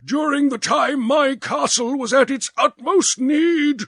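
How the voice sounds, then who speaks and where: A man voicing a hot-tempered, pompous old prince in a storybook reading, with a husky voice and a slow, commanding delivery. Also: high-pitched, lively intonation, bright, forceful tone, dry recording, studio quality, voice pushed up, full character voice. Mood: furious. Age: older.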